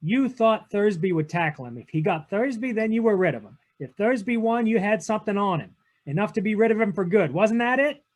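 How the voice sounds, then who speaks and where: A man's voice: high voice, animated, fairly quick. A man playing a cynical, unyielding private detective in a 1940s-style radio drama, with a clipped, tough-talking delivery. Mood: accusing. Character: hard-boiled male private detective, cynical, unyielding to his lover. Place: online video-call read-through.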